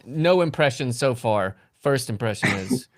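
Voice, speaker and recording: Animated man riffing on a comedy panel. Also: mid-range voice, unhurried. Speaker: podcast panelist. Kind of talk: casual comedy banter.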